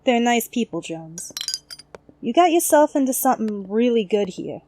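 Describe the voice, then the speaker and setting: A woman's voice: a little high, big pitch swings, moderate pace, mellow, a little hiss or hum. A woman playing a streetwise, plain-spoken young woman in an audio drama, casual and frank. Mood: friendly, wry.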